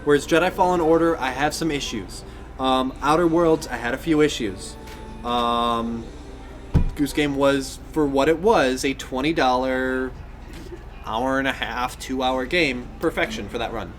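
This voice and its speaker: A man's voice: medium pitch, unhurried, over music. A man co-hosting a podcast, chatting casually about video games. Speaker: podcast co-host.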